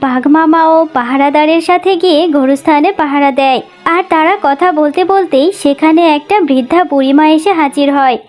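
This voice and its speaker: Very high-pitched woman narrating a cartoon story. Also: moderate pace, hardly any pauses, darkish tone.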